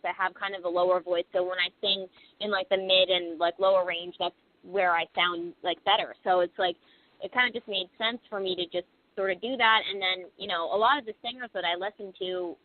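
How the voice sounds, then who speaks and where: A young woman from Pennsylvania, a band singer guest on a casual radio interview, rambling with lots of "like" and "you know". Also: medium pitch. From Pennsylvania, USA. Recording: lo-fi phone-like radio recording.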